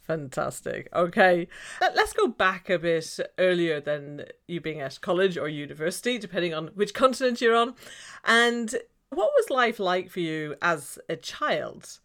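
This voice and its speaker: An Irish woman with a slightly low voice hosts the conversation. She asks her questions in an encouraging way and laughs easily between them. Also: very animated, unhurried, fairly bright tone. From Ireland. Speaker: interview host, coach. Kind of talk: conversational interview.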